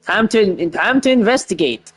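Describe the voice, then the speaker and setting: A woman's voice: medium pitch, animated, moderate pace, soft background music. A woman casually reacting while playing a video game, with informal interjections. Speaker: game streamer. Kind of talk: casual live gameplay reaction.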